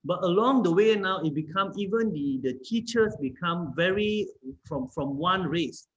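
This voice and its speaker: Higher-voiced Malaysian man in conversation. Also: lively intonation, moderate pace, dull audio. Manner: repeats phrases, explains with examples. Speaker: guest, company founder. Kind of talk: conversational interview discussion.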